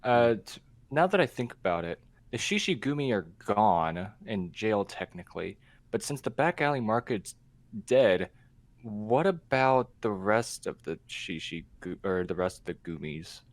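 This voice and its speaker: Man, podcast host, fairly low voice, casual group chat. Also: very animated, a little slow, no room sound.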